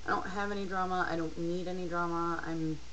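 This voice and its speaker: A woman's voice: lowish voice, fairly even intonation, fairly quick, fairly bright tone, a little hiss or hum, low-quality recording. A tired young woman telling a personal story casually, in a candid, rambling and hesitant way, as a video blogger. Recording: rock song playing in the background. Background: rock music playing behind the voice.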